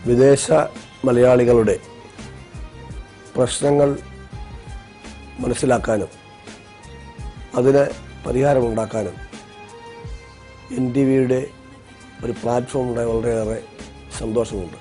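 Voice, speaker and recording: A man with a Kerala accent giving a formal official statement, measured and broken into short phrases. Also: mid-range voice, fairly even intonation, dark tone, faint music behind.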